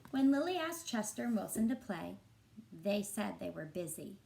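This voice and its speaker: A woman reading a picture book aloud to children as a teacher, in an expressive, deliberate and engaging way. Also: mid-range voice, gravelly, a little hiss or hum, poor audio. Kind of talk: expressive storybook narration for children.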